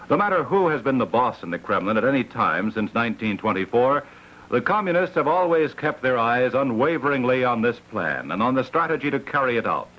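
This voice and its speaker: A middle-aged American man narrating a scripted 1960s propaganda documentary in a grave, authoritative, emphatic manner with deliberate phrasing. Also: mid-range voice, fairly bright tone, low-quality recording.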